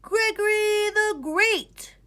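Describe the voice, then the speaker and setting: A woman voicing a boastful, excitable young boy groundhog in a children's storybook, loud and gloating. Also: very high voice, slow, pitched above the performer's own voice, heavily acted. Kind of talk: children's storybook read-aloud.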